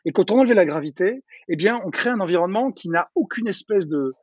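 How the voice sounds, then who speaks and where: Middle-aged man, fast and husky, chatty interview guest who restarts his phrases, dry dull sound. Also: high voice, lively intonation, no room sound. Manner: talkative, many restarts and repeated words. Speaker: entrepreneur interviewee. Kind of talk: casual conversational interview.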